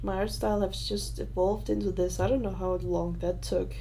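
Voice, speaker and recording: A woman's voice: medium pitch, fairly even intonation, moderate pace, dark tone, slight background noise. A young Danish woman with a Danish accent hosting a casual livestream Q&A, talking in a hesitant, rambling way with many fillers. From Denmark. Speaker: livestream host answering questions.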